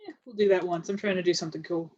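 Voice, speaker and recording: A woman's voice: on the low side, steady tone, rapid-fire, darkish tone, dull audio, slight background noise. A woman chatting casually as a player in a tabletop game, asking about rules and her moves. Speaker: player and co-host.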